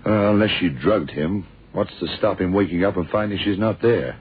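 Very deep, husky detective. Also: male voice. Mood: musing, deducing. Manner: deliberate, musing, terse and slangy. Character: shrewd, world-weary male American detective thinking aloud. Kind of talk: 1960s-70s radio drama acting. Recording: muffled, tinny old radio recording.

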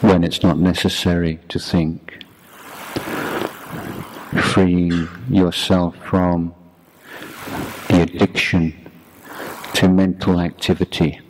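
Very slow, low-voiced male meditation teacher. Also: level tone. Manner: measured, deliberate, short phrases with long pauses. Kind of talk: guided meditation talk. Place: youth retreat. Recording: clearly noisy background.

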